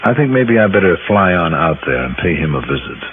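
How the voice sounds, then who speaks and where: A man's voice: sits low, sing-song, a little fast, very noisy. A man playing a shrewd, dogged insurance investigator in a 1950s radio detective drama, with a probing, wry, clipped delivery. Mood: confiding narration. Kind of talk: 1950s radio detective acting with first-person narration. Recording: muffled old radio broadcast, music underneath.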